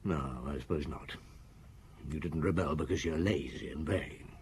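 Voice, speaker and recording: An actor playing a shrewd middle-aged police chief in a radio drama, interrogating a suspect in an unhurried, cutting way with a husky voice. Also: male voice, rumbling low, a little hiss or hum. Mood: probing.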